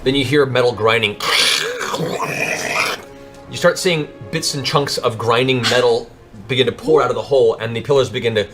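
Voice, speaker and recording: A man's voice: mid-range voice, moderate pace, pauses often. A man narrating a tabletop fantasy game as game master, in a dramatic, improvised storytelling style. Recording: music behind the voice. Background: background music.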